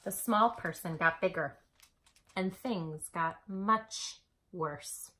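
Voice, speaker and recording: A woman storytime host reading a picture book aloud to children in an expressive, dramatic way. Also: lowish voice, slow. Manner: animated, dramatic delivery for children.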